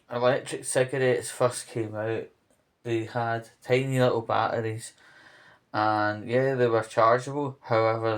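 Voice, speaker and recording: Man, Glaswegian accent, unhurried pace, little pitch movement, earnest, halting, informal vlog update. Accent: Scottish, Glasgow area. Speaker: vlogger. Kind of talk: informal spoken news update to camera. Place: home kitchen.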